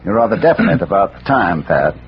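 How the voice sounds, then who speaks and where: An actor playing an erudite schoolmaster turned amateur detective in 1940s radio drama, polite, hesitant and dryly witty. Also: male voice, deep voice, big pitch swings, fairly quick. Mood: polite, probing. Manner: polite, hesitant, wry, learned. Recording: noisy muffled vintage radio recording.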